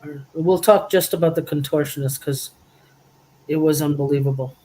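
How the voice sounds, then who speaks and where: A person chatting casually as co-host of a spiritual roundtable, telling stories in a rambling, animated, teasing way. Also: medium pitch, moderate pace, poor audio. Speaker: roundtable co-host reading cards.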